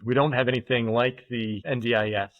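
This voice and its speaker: A man's voice: medium pitch, fairly even intonation, no echo. Thoughtful man, dull recording. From United States. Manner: thoughtful, halting, with many fillers and restarts. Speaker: podcast guest. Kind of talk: casual conversational interview. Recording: dull, muffled podcast audio.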